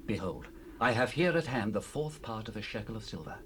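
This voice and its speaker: A man's voice: on the low side, sing-song, brisk, fairly bright tone. An actor playing an earnest manservant advising his master in a dramatized scripture reading, in formal archaic speech. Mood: earnest advice. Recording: hissy 1970s cassette rip.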